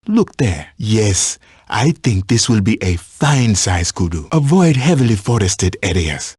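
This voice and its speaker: A patient safari tracker from a video game, kinda husky low voice, lively pitch, giving hunting tips. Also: male voice, no echo, studio quality, heavily acted. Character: patient, watchful male African safari tracker guiding a hunter. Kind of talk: scripted video game voice lines.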